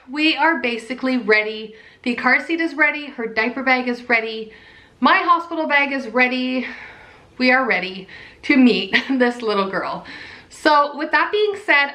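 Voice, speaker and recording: A young woman chats to her camera in a bubbly, talkative way. Her voice is fairly high and sharp, though a cold leaves it raspy and nasal. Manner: bubbly, chatty, repeats "super". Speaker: pregnancy vlogger. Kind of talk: casual chat to camera.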